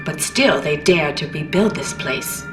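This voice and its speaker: A woman's voice: low voice, expressive, measured pace. A woman voicing a vengeful young psychic woman in a video game, cold, menacing and sardonic, in a roomy, distant recording. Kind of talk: video game character voice acting. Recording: roomy, distant, music underneath.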